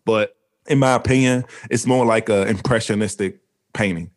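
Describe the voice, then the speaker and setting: Casual young male podcast co-host, lowish voice, animated, rambling talk. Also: normal speed. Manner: animated, rambling, laughs often.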